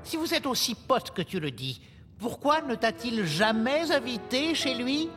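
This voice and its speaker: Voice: mid-range voice, big pitch swings, unhurried. An actor voicing a clever little talking dog in a children's cartoon, formal and precise in wording, reproachful and jealous. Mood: indignant. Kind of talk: animated children's cartoon dubbing. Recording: loud music behind the voice.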